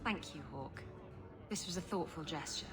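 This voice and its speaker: A woman voicing a flirtatious female pirate captain who speaks playfully and slowly. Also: low voice, natural voice. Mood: flirty. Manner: playful, teasing, drawn-out. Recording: game cutscene with music behind.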